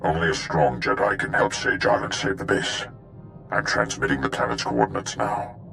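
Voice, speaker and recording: An actor playing a grave, formal alien Jedi master in a video game, worried for his apprentice, with a deep, gravelly voice. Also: sing-song, normal speed, fairly bright tone, faint music behind, heavily acted. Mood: grave, urgent. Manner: formal, solemn. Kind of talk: video game cutscene acting.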